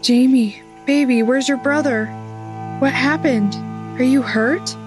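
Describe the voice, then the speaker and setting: Woman playing a frantic mother, high-pitched and pleading. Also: takes it slowly. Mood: panicked, pleading. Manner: high, panicked calling out. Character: frantic young mother searching for her missing children. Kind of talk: dramatised horror audio story. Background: background music.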